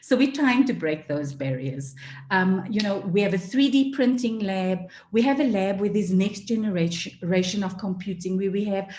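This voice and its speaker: Earnest woman forum speaker, mellow tone, fillers, echoey room. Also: mid-range voice, normal speed. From South Africa. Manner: earnest, many fillers, emotional at times. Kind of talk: passionate talk. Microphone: video call.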